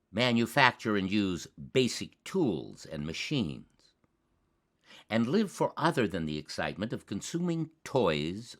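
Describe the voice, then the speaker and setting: A man with a low voice hosts a commentary show. He speaks slowly and deliberately in rhetorical, scripted phrases. His intonation swings widely, giving key words dramatic emphasis. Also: fairly bright tone. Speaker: host of a climate commentary show.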